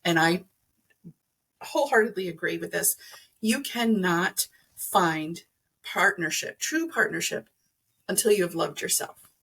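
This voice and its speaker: A woman's voice: on the low side, expressive, measured pace, long pauses, fairly bright tone, breathy edge. A middle-aged woman explaining things conversationally as an interview guest, earnest and emphatic, acting out imagined remarks. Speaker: podcast guest, energy healer.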